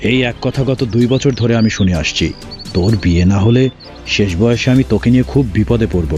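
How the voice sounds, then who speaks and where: Man voicing a low, dark-toned worried old father. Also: brisk, soft background music, natural voice. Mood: worried, complaining. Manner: complaining, admonishing. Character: ageing, worried, nagging widowed village father. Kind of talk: Bengali fairy-tale cartoon dubbing.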